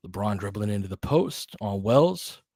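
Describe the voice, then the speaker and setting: A man's voice: on the low side, expressive, moderate pace, a little mellow, no echo, studio quality. A man giving informal, candid basketball play-by-play and opinionated commentary as the host of a fan stream.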